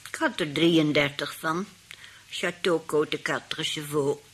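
A husky, sweetly sly old aunt. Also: female voice, low-pitched, unhurried, fairly bright tone, full character voice. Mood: sweet, innocent. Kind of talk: radio play acting.

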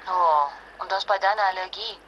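A woman's voice: medium pitch, normal speed, fairly bright tone, muffled recording, slight background noise, natural voice. An actress playing a determined, insistent wife and mother in a naturalistic radio drama, blunt and urgent. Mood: concerned, insistent. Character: determined, jealous wife and mother fleeing nuclear fallout.

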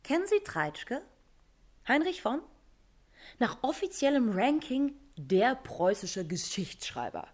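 An actress playing a combative, sarcastic feminist in a satirical radio play, emphatic and declamatory. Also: female voice, fairly high pitch, big pitch swings, slow, a little mellow, full character voice. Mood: indignant interjection. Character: combative, sarcastic feminist woman debunking Prussian history.

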